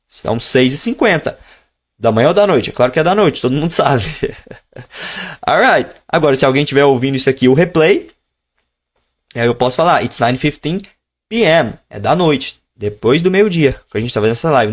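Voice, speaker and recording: A man teaching a live online lesson, explaining at a relaxed pace and stretching out example phrases slowly so learners can follow. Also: on the high side. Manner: switches between teaching tone and slow, drawn-out modeled phrases. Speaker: English teacher.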